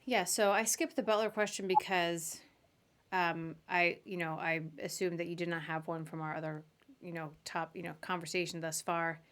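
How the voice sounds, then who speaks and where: A woman's voice: lowish voice, fairly even intonation, normal speed, fairly bright tone. A woman hosting a podcast, chatting casually as she interviews her guest. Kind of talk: casual interview on a live video show.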